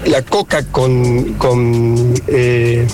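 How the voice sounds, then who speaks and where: A man's voice: mid-range voice, steady tone, very slow. A man from Córdoba calling in to a radio show, explaining in a hesitant way. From Córdoba, Argentina. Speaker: caller. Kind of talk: radio call-in.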